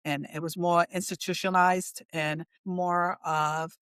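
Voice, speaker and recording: Female interview guest, middle-aged, raised in New Jersey. Slightly low voice, candid and conversational, dry close recording. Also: flat delivery, unhurried, fairly bright tone, clear, resonant tone, dry recording. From Puerto Rico and New Jersey, US. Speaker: interview guest, counseling center chief executive.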